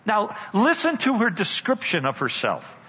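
An older man preaches in a church, building a story with dramatic slowdowns and emphatic pauses. His voice sits a little high and swings widely in pitch, bright with a husky edge. The sound is muffled and rings with long church reverb. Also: wildly swooping pitch. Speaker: guest speaker. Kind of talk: storytelling sermon. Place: church sanctuary. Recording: dull church hall reverb.

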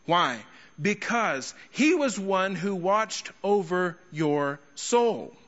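A man preaching an expository sermon to a congregation, speaking emphatically with rhetorical questions and deliberate pauses. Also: fairly high pitch, a bit bright. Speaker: guest preacher and teacher. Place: large indoor church hall. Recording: echoey large hall, slightly muffled sound.

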